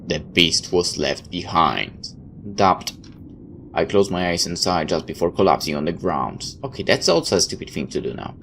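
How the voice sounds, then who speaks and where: A man's voice: low-pitched, expressive, moderate pace, a bit bright. A man reading a visual novel aloud like a dramatic storyteller, tossing in his own asides. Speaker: let's player. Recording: clear background noise.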